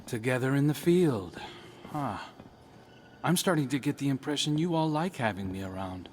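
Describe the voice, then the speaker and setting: An actor playing an earnest, wry outsider scout in a video game, with an understated, conversational delivery. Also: male voice, mid-range voice, lively intonation, moderate pace, a little hiss or hum, lightly acted. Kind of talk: dramatic game cutscene acting.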